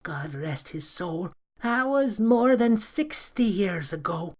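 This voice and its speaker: A man's voice: high-pitched, sing-song, slow, pitched above the performer's own voice, heavily acted. A man voicing a kindly old woman with a cane in a read-aloud story, speaking in a fussy, quavering way. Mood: fretful. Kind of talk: storybook character voice.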